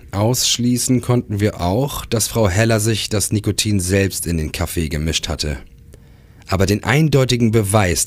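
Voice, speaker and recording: An actor playing a troubled police detective who narrates in a matter-of-fact, brooding way in a crime audio drama. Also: male voice, fairly low pitch, lightly acted.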